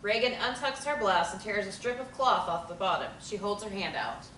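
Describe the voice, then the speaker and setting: A woman reads the stage directions aloud in a plain, even way. Her voice sits in a middle range. The sound is roomy and distant, with a faint hiss. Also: medium pitch, moderate pace.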